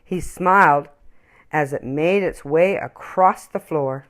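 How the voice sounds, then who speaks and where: A woman reading a children's story aloud with expressive, emphatic, dramatic phrasing. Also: low-pitched, slow pace.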